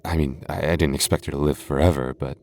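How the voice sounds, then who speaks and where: A man playing a deep-voiced, teasing goth boyfriend. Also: fairly even intonation, quick pace. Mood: affectionate. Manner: soft, intimate, playfully teasing. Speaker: voice actor. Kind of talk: boyfriend ASMR roleplay.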